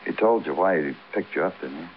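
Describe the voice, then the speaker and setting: An actor playing a world-weary big-city detective, blunt and brooding, in a 1950s hardboiled radio crime drama. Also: male voice, low voice, a little fast, slight background noise, natural voice. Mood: pressing. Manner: blunt questioning, brooding poetic narration. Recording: dull old radio broadcast.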